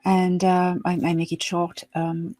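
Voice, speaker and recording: A woman from France with a French accent, giving a hesitant, soft-spoken account as a guest on a video call. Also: on the low side, completely flat delivery, measured pace, dry recording. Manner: soft-spoken, hesitant with many ums, laughs easily. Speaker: interview guest. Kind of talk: remote interview, storytelling.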